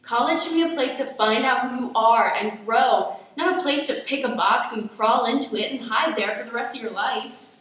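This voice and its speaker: In a very echoey room, a young woman plays an earnest student big sister. Her voice is fairly high, with a dry wit, in amateur radio drama style. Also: moderate pace, hardly any pauses, fairly bright tone, natural voice. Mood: impassioned. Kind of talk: amateur radio play acting.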